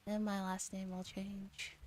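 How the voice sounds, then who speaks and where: Mellow, soft-voiced young woman streaming. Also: mid-range voice, completely flat delivery, unhurried, slight background noise. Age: young adult. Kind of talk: soft ASMR visual novel read-along and casual chat. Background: game music.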